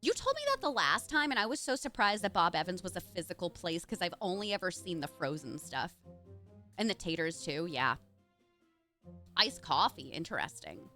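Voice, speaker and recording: A woman streaming a game live, chatting excitably with her viewers, with faint music behind her. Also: on the low side, moderate pace. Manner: chatty, warm to chat, excitable.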